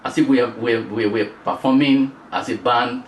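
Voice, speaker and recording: A man interviewing a singer in a casual chat, halting and restarting his sentences often. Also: mid-range voice, steady tone. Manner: halting, many restarts and fillers. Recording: roomy, distant mic, faint noise.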